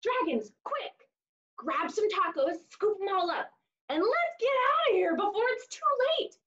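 A woman in her thirties reading a storybook aloud to young children with expressive, exaggerated delivery and dramatic pauses, in a small room. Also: extremely high pitch. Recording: dull, lo-fi webcam audio.

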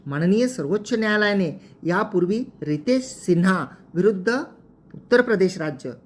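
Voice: mid-range voice, lively intonation, moderate pace. A presenter explains legal matters in a formal, methodical way, restating points as they go.

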